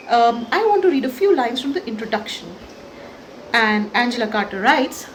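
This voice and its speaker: A woman's voice: on the high side, poor audio. A woman from India chatting expressively and enthusiastically as she reviews books in a vlog, sometimes reading passages aloud. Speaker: book reviewer and vlogger. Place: on location at an old forest house. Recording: clear ambient noise on location.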